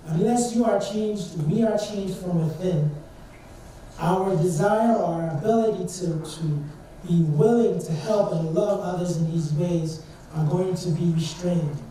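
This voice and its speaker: A man's voice: high voice, dark tone. A young man from Trinidad giving an earnest, conversational sermon as a student speaker at a church service, asking his listeners questions. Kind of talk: informal sermon. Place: church sanctuary. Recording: distant and very echoey church hall, faint noise.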